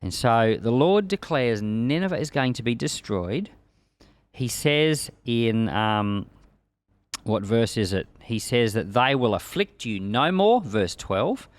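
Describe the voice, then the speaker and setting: A man's voice: medium pitch, big pitch swings, takes it slowly, no room sound. A man giving a conversational Bible-teaching sermon, explaining with everyday examples and rhetorical questions, repeating key phrases for emphasis. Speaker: preacher teaching a Bible chapter.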